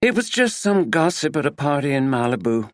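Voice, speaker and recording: A man's voice: fairly high pitch, sing-song, normal speed, no echo, studio quality, pitched above the performer's own voice. A male narrator voicing a self-absorbed, perky American actress with a drawl, breezy and dismissive.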